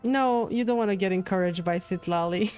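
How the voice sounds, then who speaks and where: A woman's voice: mid-range voice, moderate pace, a little mellow. A woman livestreamer giving casual reaction commentary on a game, with music behind her.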